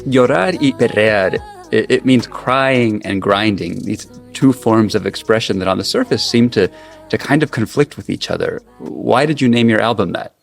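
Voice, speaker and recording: A man's voice: lowish voice, normal speed, vocal fry. A man hosting a radio interview, asking questions as the interviewer. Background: music.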